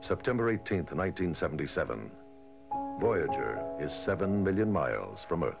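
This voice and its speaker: A man reading scripted documentary narration in a measured, formal way, on a dated soundtrack. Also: sits low, sing-song, vocal fry. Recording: dull, dated lo-fi film soundtrack. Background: music.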